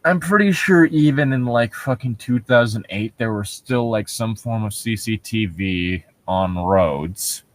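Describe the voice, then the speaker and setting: A man's voice: fairly low pitch, measured pace, hardly any pauses, no room sound. Sarcastic man, dry close-miked commentary. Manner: sarcastic, incredulous, swears casually. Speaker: co-host reading and riffing on internet horror stories. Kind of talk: casual comedic commentary with read-aloud passages.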